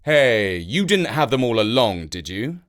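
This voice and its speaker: A narrator voicing a mild, put-upon father with a measured, grumbling manner in a children's storybook. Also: mid-range voice, very animated. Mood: surprised.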